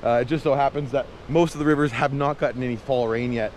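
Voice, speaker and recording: A man's voice: medium pitch, fairly even intonation, fast, darkish tone, slight background noise. A man chatting casually to viewers as an outdoor camping and fishing vlogger, with a folksy, playful, exuberant manner full of quirky slang. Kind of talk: casual vlog chat to viewers. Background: music.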